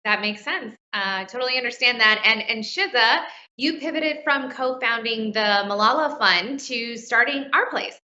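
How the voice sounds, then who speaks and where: A woman's voice: a little high, moderate pace, sharp tone. A woman, a technology reporter, hosting and moderating a live interview, friendly and a little flustered at first. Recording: lo-fi with a roomy echo.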